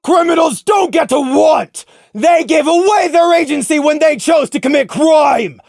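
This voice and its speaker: A man's voice: very high voice, measured pace, gravelly, a little mellow, no echo, raised voice, studio quality, exaggerated voice. A man voicing a self-righteous, defiant unicorn stallion guard captain in a dramatic audio story, declaiming with open contempt. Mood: furious, defiant.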